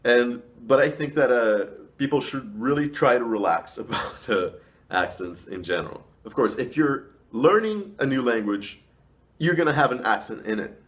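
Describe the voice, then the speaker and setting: A man's voice: medium pitch, animated, moderate pace, fairly bright tone. A man talking casually as a vlogger giving advice, emphatically stressing and stretching key words. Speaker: language vlogger. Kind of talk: casual advice talk to camera.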